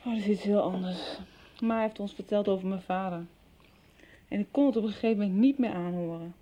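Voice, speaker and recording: A woman's voice: mid-range voice, brisk, warm tone, slight background noise, close to an everyday voice. An actress in a radio soap playing a hurt yet concerned young woman who confides and questions earnestly. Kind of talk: 1990s Dutch radio soap acting.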